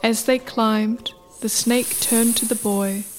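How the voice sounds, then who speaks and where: A woman narrating a scripted folktale as a measured storyteller. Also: a little high, fairly even intonation, noisy background. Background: music.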